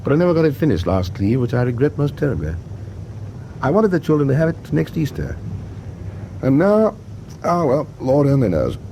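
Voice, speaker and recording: A mellow, middle-aged British naval captain in a wartime radio drama. He is reflective and measured, yet his pitch moves expressively through clipped officer phrases. A steady rumble of noise sits behind him. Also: rough recording. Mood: fond, wistful. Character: weary, reflective male British warship captain, kindly to officers.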